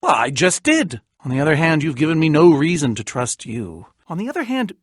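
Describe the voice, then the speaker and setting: Game role: eccentric reclusive adventurer, wistful and fussy, theatrical wordy delivery with lively pitch swings, very dry close recording. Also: male voice, brisk, no room sound. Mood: wry, wary. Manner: theatrical, wordy, dryly self-pitying. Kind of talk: animated video game character acting.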